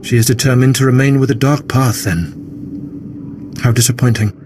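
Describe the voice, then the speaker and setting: An actor playing a pompous, menacing Sith lord in a video game, grand and imperious in manner. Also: male voice, medium pitch, fairly even intonation, normal speed, a little hiss or hum, natural voice. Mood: cold, commanding. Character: pompous self-proclaimed Sith lord ruling a jungle temple. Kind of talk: theatrical video game voice acting. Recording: loud music behind the voice.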